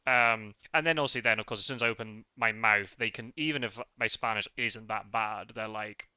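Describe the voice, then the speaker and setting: A British man who grew up in the north of Scotland, talking casually and softly with many restarts. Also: on the low side, fairly quick, smooth, dry recording. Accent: British English with Scottish upbringing. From England. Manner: soft-spoken, rambling with many restarts. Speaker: podcast host. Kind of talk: casual conversation.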